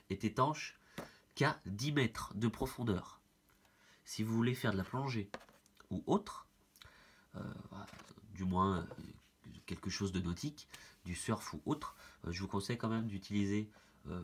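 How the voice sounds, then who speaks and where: A man with a stuffy head cold talks through a hands-on product demo in a somewhat low voice. He hesitates often and thinks aloud with plenty of fillers. A faint hiss sits under the recording. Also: a little slow, long pauses. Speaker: product reviewer.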